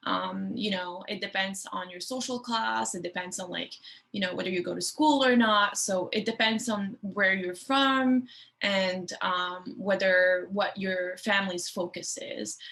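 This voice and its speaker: A woman's voice: medium pitch, measured pace, vocal fry. A woman in her twenties from Morocco, talking casually to students with plenty of fillers and restarts. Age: 20s. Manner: relaxed, with many fillers and restarts. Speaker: guest speaker for an education program.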